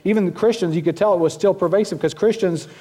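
A man's voice: sits high, quick pace, warm tone, breathy edge. A middle-aged man with a Southern US accent, preaching a sermon as pastor in a folksy, self-deprecating way with frequent restarts.

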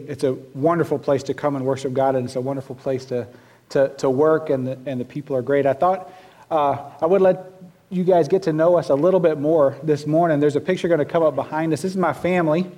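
A middle-aged man with a Southern US accent preaches from the pulpit, his voice sitting a little high for a man. He talks in a folksy, self-deprecating way, often restarting his sentences as he goes. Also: fairly even intonation, a little fast, mellow. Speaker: pastor. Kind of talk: sermon.

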